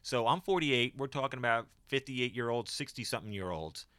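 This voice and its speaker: A man's voice: mid-range voice, expressive, a little fast, a bit bright, smooth, dry recording. A man in his forties in casual podcast conversation, who repeats words and restarts while he searches for a phrase. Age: 40s. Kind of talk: casual chat.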